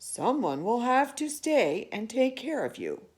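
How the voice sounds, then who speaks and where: A storybook ostrich doctor, voiced by a woman reader. He speaks slowly and firmly, giving careful orders with a caring air. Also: a little high, very animated. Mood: firm, grave.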